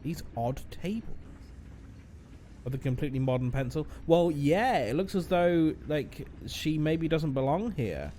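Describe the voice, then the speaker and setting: A man's voice: fairly high pitch, lively intonation, a little slow, warm tone, slight background noise. A man streaming a game, chatting casually with wry joking asides.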